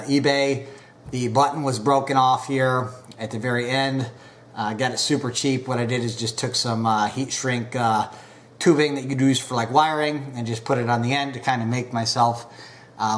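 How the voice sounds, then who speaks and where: A man casually reviewing pipe tobacco, unhurried and full of filler pauses, a bit stuffed up from a recent cold. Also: medium pitch.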